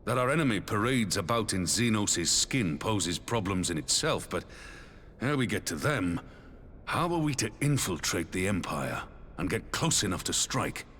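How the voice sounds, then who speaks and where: An actor playing a grave veteran commander in a video game cutscene, formal, measured and solemn. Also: male voice, on the low side, sing-song, fairly bright tone. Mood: resolute. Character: grave one-armed veteran commander of a liberated homeland. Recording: long hall reverb, faint background noise.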